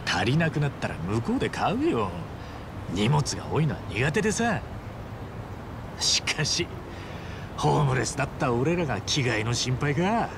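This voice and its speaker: An actor playing an earnest, loyal middle-aged ex-yakuza in a video game, speaking casually and sincerely. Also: male voice, medium pitch, sing-song, normal speed, noisy background. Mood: casual, warm. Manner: casual, rough but sincere speech. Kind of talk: dramatic video game voice acting.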